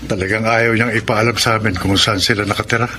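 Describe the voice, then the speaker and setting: A man's voice: lowish voice, fairly even intonation, fast, natural voice. A man playing a grave, protective older brother in a Filipino radio drama. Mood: worried, stern. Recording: clear noise behind the voice.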